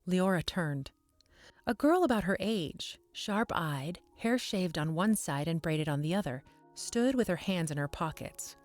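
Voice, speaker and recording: A woman reading scripted fantasy narration in a measured, descriptive way. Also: lowish voice, lively intonation, a little mellow, soft background music.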